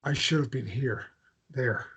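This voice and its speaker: A man acting a guilt-wracked, volatile grieving widower at a table read, halting and repeating his phrases. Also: medium pitch, fairly bright tone, husky, heavily acted. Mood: guilty despair. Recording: dull lo-fi sound.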